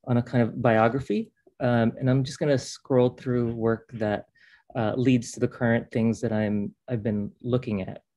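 A man's voice: mid-range voice, darkish tone. Hesitant man giving an artist talk. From Philippines. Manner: hesitant, many ums and uhs. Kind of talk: artist talk on a video call. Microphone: video call.

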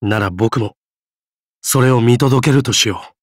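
Affable, easygoing gentleman voiced by a man, dry studio sound. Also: medium pitch, measured pace, no room sound, natural voice. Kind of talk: game character voice lines.

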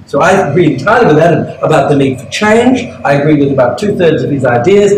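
A man's voice: a little high, lively intonation, fast. An older man speaking bluntly and emphatically as a panelist in an academic discussion. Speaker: conference panelist. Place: outdoor conference terrace. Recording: echoey with background noise.

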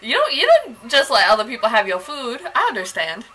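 A woman's voice: a little high, huge pitch swings, fairly quick, sharp tone, slight background noise, poor audio. A young adult woman in a casual, joking reaction commentary, talking to camera from a small bedroom. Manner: playful, joking, chatty. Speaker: vlogger reacting to music videos. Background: music from the clips.

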